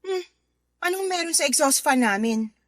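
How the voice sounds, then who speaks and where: A woman with a Filipino accent playing a sassy, defensive, thrifty neighbour in a radio drama, expressive and sarcastic, over music. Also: high voice, normal speed, no echo. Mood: defensive, annoyed. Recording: music bed under the voice.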